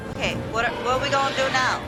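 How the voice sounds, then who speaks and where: A woman doing casual live game commentary in an excitable, repetitive, slangy and sweary way. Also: sits high, rapid-fire. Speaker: gamer, video creator. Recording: loud game music behind. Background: game music and effects.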